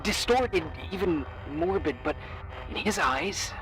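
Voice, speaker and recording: A man's voice: high-pitched, expressive, slow pace, a bit bright, exaggerated voice, low-quality recording. An actor playing a concerned, cautious man in a video game, speaking in an earnest, pleading, insistent way. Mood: grave, insistent. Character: concerned, cautious man urging a woman to safety. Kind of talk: naturalistic video game voice acting.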